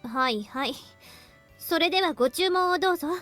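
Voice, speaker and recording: An exasperated young waitress in a visual novel game. Her voice is high and very fast, curt and resigned, with music behind it. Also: expressive, a bit bright. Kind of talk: Japanese visual novel voice acting.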